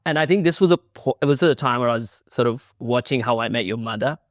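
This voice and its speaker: A man's voice: mid-range voice, quick pace, no echo, clean studio sound. A young man raised in Sydney, a guest telling his story in a loose, chatty way full of "like" and "sort of". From India, raised in Sydney, Australia. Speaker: guest, city founder. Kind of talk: casual interview talk.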